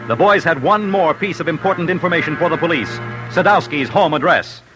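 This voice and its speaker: Male narrator, old-time radio crime show, urgent punchy delivery, fairly high voice, music underneath. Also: fairly quick. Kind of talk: 1940s radio crime narration, scripted and dramatic.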